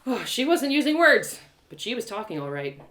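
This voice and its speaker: A woman performing a first-person adventure story as a live reading, dramatic and expressive. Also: a little high, moderate pace.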